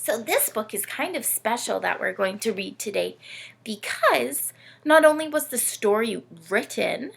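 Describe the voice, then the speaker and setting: Woman, children's read-aloud host. Very lively pitch, heavy creak, teacherly and question-led. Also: measured pace. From Canada. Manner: animated, teacherly, asks listeners questions. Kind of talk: children's storytime presenting.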